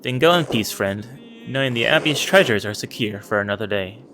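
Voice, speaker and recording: A man voicing a stern, formal monk who guards an abbey's treasures and speaks in a stiff, disapproving way. He reads a slightly lower and quieter line than his own voice. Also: animated, fairly quick. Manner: stiff, rule-bound and disapproving. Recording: clear background noise.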